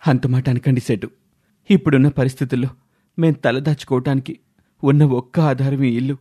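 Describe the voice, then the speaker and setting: A man's voice: mid-range voice, steady tone, brisk, mellow, lightly acted. A man acting an earnest, pleading young son. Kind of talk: dramatised moral story voice acting.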